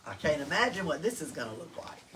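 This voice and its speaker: A woman with a Southern US accent reading a picture book aloud to children, expressively, pausing to put questions to them. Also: low voice. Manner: expressive, engages listeners with questions. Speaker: storytime reader at a library. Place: indoors in a library. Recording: distant mic, noticeable room noise.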